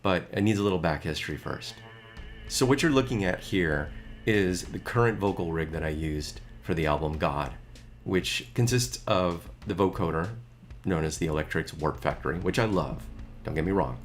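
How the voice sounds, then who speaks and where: A man's voice: sits low, moderate pace. A middle-aged man talking casually about his music gear, chatty and a bit rambling.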